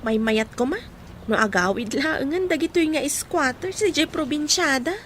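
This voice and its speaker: A woman's voice: high voice, animated, moderate pace, a bit bright, a little hiss or hum. A woman playing a dutiful young student new to the city, in a radio drama. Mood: displeased. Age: young adult. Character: dutiful young female student, reassuring but a bit fussy.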